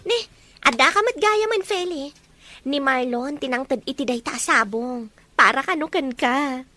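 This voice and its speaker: A woman playing a lively young matchmaking best friend in an Ilocano romance drama, teasing and coaxing. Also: high voice, a little slow, full character voice.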